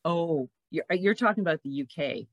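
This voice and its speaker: Low-voiced woman hosting a podcast. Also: animated, moderate pace, slight background noise. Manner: enthusiastic. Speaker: podcast host. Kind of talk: casual podcast interview.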